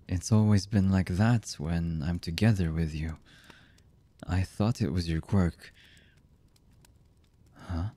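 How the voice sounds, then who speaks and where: A man voicing a brooding, lovesick young anime villain in an intimate ASMR roleplay, husky and breathy with halting, hesitant delivery that often sinks toward a whisper. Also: low-pitched, mellow, full character voice.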